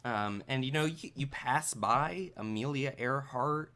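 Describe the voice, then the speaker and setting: A man running a tabletop game, improvising narration in a halting, conversational way. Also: medium pitch, pushed and bright. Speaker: game master.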